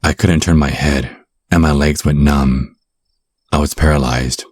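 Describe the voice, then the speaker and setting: A man's voice: a bass voice, fairly even intonation, unhurried, breathy edge, dry recording, heavily acted. A man playing an easygoing, sarcastic American on a date in an audio roleplay, wry and casual in his delivery. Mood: storytelling. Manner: wry, casual, sometimes flustered. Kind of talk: audio roleplay acting with first-person narration.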